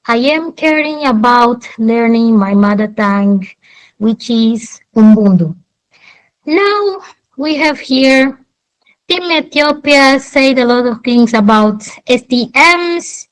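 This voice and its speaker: A young Angolan woman debating in English, her second language after Portuguese. Her voice sits fairly high, and she takes her time, setting out facts and figures one by one in an earnest tone. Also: poor audio. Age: young adult. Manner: earnest, reads out statistics point by point. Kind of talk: debate speech.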